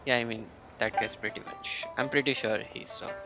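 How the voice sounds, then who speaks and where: A man casually commentating on a video game live, thinking aloud in a halting way as he reads and reacts. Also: medium pitch, fairly even intonation. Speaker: game streamer. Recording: game music behind the voice. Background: loud game music.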